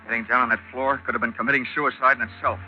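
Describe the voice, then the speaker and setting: An actor playing a wisecracking, hardboiled male investigator in a 1940s radio drama, with a wry, deadpan, tough manner. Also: mid-range voice, very fast, faint music behind, close to an everyday voice. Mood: wry narrating. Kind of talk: 1940s radio detective narration and acting. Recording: dull old 1940s radio recording.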